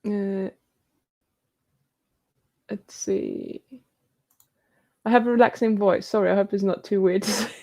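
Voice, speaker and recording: A woman hosts a live question-and-answer stream, reading out viewer comments and answering them in an easygoing, chatty way. Her voice is mellow and dark in tone, with a gravelly fry running through it. She talks at an ordinary pitch, as if into a computer mic. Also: steady tone, measured pace, long pauses. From New Zealand. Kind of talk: casual live Q&A chat.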